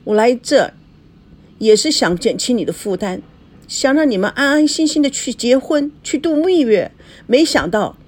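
Narrator doing a coy young woman assistant, high lilting voice, apologetic and hesitant. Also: very animated, moderate pace. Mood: plaintive.

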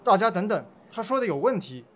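A man's voice: sits high, sing-song, brisk, a bit bright, full character voice. A man playing an earnest legal-awareness volunteer who explains things firmly, point by point, in a radio play. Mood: firm, accusing.

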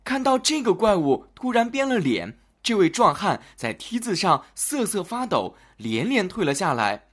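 Brief: narrator for children's fairy tales, dramatic and highly animated, with some huskiness in the voice. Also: moderate pace, few pauses, breathy edge. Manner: expressive, dramatic delivery for children. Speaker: children's storyteller. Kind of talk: scripted fairy-tale narration.

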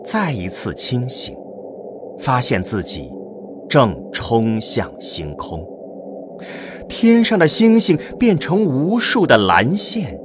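A man narrating a science-fiction radio drama in a dramatic, scripted style. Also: mid-range voice. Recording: clear background noise and ambience.

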